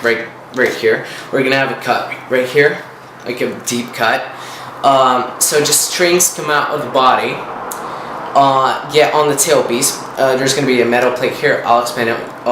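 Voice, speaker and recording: A man's voice: mid-range voice, fairly even intonation, normal speed, reverberant, noisy background. Russian-accented man explaining his guitar design. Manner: hesitant, many fillers and restarts. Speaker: guitar design contest entrant.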